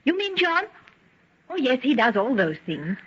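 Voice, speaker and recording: An actress playing a kindly, genteel young woman, gentle and coaxing, in a vintage radio drama. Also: high voice, very animated, measured pace, noisy background. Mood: wistful, fond. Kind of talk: golden age radio drama acting. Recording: dull, muffled vintage radio recording.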